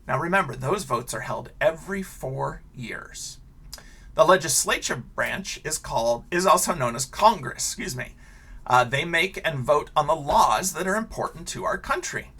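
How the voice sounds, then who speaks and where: A man's voice: a little high, bright, forceful tone, breathy edge. An American man speaking as an elementary school teacher, reading aloud and explaining things simply to young children.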